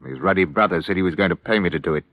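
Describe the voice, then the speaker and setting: An actor playing a surly, defiant small-time criminal with a British accent and slangy, gruff talk in an old radio crime drama. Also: male voice, sits low, rapid-fire, a bit bright, no room sound. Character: surly male small-time criminal, confessed hired killer. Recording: muffled, dry old radio recording.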